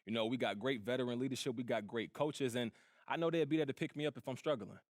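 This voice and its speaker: A man plays a young rookie basketball player being interviewed in a video game. He talks quickly at a middling pitch, casual, earnest and modest, in a dry recording. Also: no echo, lightly acted. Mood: earnest, confident. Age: young adult. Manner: casual, earnest, team-minded.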